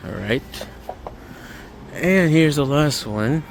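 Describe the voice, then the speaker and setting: A man's voice: a little high, expressive, slow pace, vocal fry, slight background noise, low-quality recording. A man giving casual, matter-of-fact walk-through commentary as a hobbyist vlogger inside a large store. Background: background music in a store.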